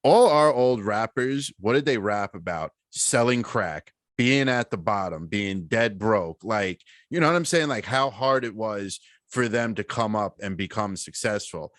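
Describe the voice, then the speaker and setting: A man co-hosting a podcast, telling things in a lively way with slang and swearing. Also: lowish voice, measured pace, few pauses, dry recording, studio quality. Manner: animated storytelling with slang and swearing. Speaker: podcast co-host. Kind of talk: casual podcast chat.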